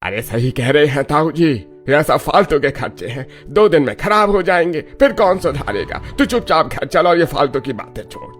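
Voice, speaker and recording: High, swooping, grumbling village elder, played by a man. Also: big pitch swings, moderate pace, hardly any pauses, a bit bright, faint music behind. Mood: dismissive. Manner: rustic, chiding, colloquial. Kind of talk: Hindi cartoon story dialogue.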